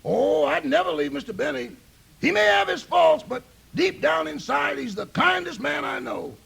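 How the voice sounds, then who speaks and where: An actor playing a loyal, wisecracking valet in a 1950s radio comedy, raspy and emphatic with comic timing. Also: male voice, extremely high pitch, lively intonation, normal speed, fairly bright tone, full character voice. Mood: earnest storytelling. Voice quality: raspy, gravelly. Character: loyal wisecracking male valet chatting with a porter.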